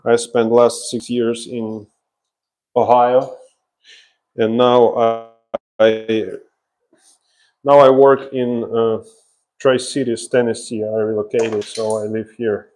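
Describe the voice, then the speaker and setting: A man with a Ukrainian accent talks at an unhurried pace, his pitch in the middle range. He gives casual, hands-on explanations as a tradesman streaming live, pausing while he works. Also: flat delivery, darkish tone. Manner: explains while working, pausing as he handles tools. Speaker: drywall installer, live stream host. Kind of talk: casual live work commentary. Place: laundry room under renovation, indoors.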